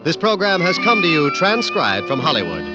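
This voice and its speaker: High-pitched, animated vintage radio announcer, man. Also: normal speed. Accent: American. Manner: formal, declamatory. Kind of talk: 1940s-50s radio announcing, scripted. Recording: muffled old radio recording under loud music.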